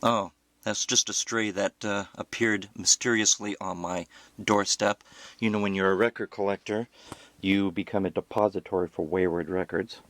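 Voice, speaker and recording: Low-voiced man with wry asides. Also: steady tone, moderate pace, fairly bright tone, no room sound. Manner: wry, self-deprecating asides. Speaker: record collector presenting a collection. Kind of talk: casual narrated home video tour. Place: indoors at home.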